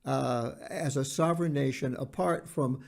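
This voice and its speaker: A man co-hosting a podcast, talking in an earnest, halting way and repeating words for emphasis. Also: mid-range voice, vocal fry. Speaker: podcast co-host. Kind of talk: conversational podcast discussion.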